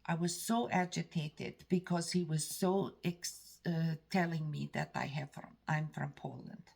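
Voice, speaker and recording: A woman from Canada with an Eastern European-sounding accent, telling a story in a rambling, halting way with many restarts. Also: low voice, steady tone, gravelly, a little mellow, slightly rough. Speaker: vlogger. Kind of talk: casual storytelling monologue.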